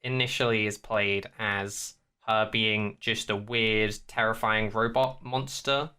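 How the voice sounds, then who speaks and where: A man's voice: fairly low pitch, fairly even intonation, slow pace, sharp tone, smooth. A man co-hosting a podcast, giving his reactions in casual chat and thinking aloud as he goes. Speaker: podcast co-host.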